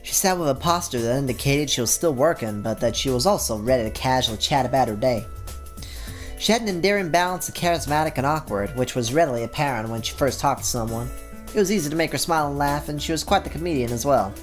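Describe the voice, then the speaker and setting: A man's voice: mid-range voice, quick pace, faint music behind. Man narrating a visual novel. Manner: plain, steady narration with casual asides. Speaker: YouTube gamer. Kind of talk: reading a visual novel aloud.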